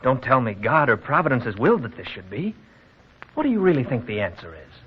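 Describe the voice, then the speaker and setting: A man's voice: medium pitch, big pitch swings, fast, natural voice. A man playing a troubled, earnest young townsman with a formal manner in a 1940s radio drama. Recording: muffled, noisy vintage radio recording.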